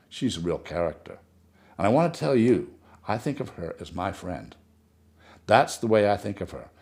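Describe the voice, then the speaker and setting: A man reading a short story aloud, voicing a chatty, gushing society woman who talks in a breathless stream and keeps praising herself. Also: fairly low pitch.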